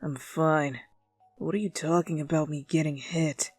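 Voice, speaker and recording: Woman doing a low, growly, irritable teenage boy. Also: steady tone, a little slow, darkish tone, husky, dry recording. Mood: gruff, guarded. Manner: surly, curt muttering. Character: gruff, irritable teenage boy, rough and grumpy. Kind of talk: anime fan fiction dramatic reading. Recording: music behind the voice.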